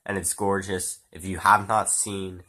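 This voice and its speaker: Man with a cold reviewing a film: stuffy, bright-edged voice, slightly low pitch, rambling and loose. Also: level tone, unhurried. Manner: rambling, swears casually, many fillers and restarts. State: stuffy nose, sick. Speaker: movie reviewer. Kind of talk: casual spoken film review.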